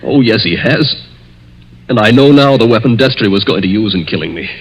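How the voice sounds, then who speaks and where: A man's voice: on the low side, expressive, a little fast, slightly rough, poor audio. An actor playing a hunted, fatalistic young man in an earnest, dramatic 1940s radio mystery style. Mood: despairing. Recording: vintage 1940s radio recording.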